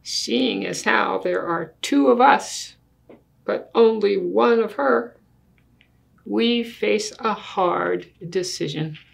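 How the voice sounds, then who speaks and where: An older woman reading a picture book aloud to children in a deliberate manner, putting questions to her listeners. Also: mid-range voice, vocal fry. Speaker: story time reader. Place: small indoor room with books and wall quilt.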